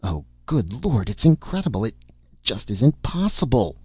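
A man's voice: sits low, sing-song, moderate pace, mellow, husky, no echo, exaggerated voice. A man voicing a distressed, demanding husband and father in an audiobook story, grave and agitated. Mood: stunned.

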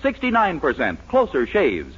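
A man's voice: fairly high pitch, wildly swooping pitch, moderate pace, breathy edge. A man working as a radio announcer and narrator, with polished, theatrical 1940s scripted delivery. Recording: muffled old radio broadcast recording.

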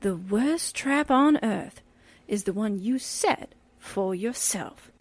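Folksy female reporter sidekick played by a woman, highish animated voice, eager, close dry sound, old-time radio. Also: slow pace, no echo. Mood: wry, folksy. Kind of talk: 1940s-style radio drama acting.

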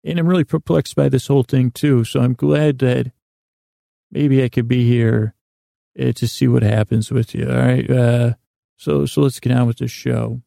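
A man's voice: medium pitch, steady tone, moderate pace, warm tone, no room sound. A man hosting a bedtime podcast in a meandering monologue, stammering and repeating words as he goes. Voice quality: gravelly, creaky. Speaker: podcast host. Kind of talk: rambling bedtime monologue to help listeners sleep.

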